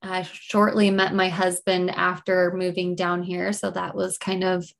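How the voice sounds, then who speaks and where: A woman's voice: on the low side, completely flat delivery, a little slow, vocal fry. Woman, 30s, from Utah, leadership coach, friendly, upbeat, video call. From Utah, USA. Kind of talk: casual interview answers.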